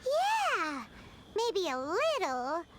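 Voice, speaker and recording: A woman playing a small, chirpy, childlike female fairy companion in a video game, with a squeaky voice. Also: huge pitch swings, very slow, heavy background noise, exaggerated voice. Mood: curious, musing. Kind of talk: animated video game acting. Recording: game audio under loud music.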